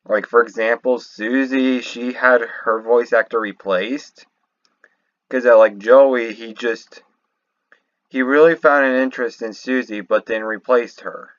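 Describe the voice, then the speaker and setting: A man giving casual, unscripted commentary as a video host, halting and rambling as he goes. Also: medium pitch, steady tone, no room sound. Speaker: video host reacting to game lore. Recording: slightly muffled, dry home microphone.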